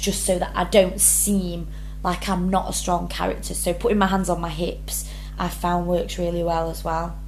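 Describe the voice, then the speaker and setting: A woman's voice: fairly low pitch, a little hiss or hum, rough recording. A young woman drama student, talking earnestly through her rehearsal blog and reading each question aloud before answering. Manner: earnest, many ums, reads prompts then answers.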